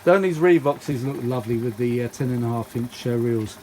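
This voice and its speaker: British male hobbyist presenter, mid-pitched, informal and rambling, with muttered asides; noisy room recording. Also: moderate pace, a little mellow. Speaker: vintage audio hobbyist and video host. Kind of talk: casual hands-on demonstration chat.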